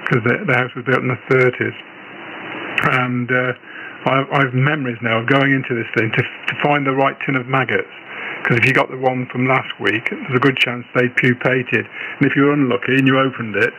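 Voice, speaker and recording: British man on shortwave radio, bright and forceful. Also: mid-range voice, steady tone, fairly quick. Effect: radio transmission. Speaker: amateur radio operator. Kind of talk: casual radio chat, rambling reminiscence. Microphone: radio transceiver, received over shortwave. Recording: noisy shortwave ham radio signal.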